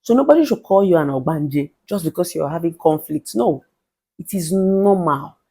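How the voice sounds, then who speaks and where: Nigerian relationship therapist, middle-aged woman, authoritative lower voice, preachy motivational delivery. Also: lively intonation, moderate pace, breathy edge. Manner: forceful, emphatic, mixes in Pidgin phrases. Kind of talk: motivational advice monologue.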